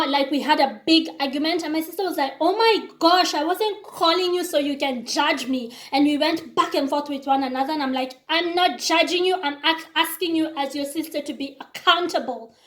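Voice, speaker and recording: A woman's voice: high voice, roomy sound. A woman from Kenya with a Kenyan accent, English not her first language, talking casually to her viewers in a chatty, digressive way. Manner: chatty and digressive, acts out quoted reactions. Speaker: vlogger. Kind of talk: casual talking-to-camera monologue.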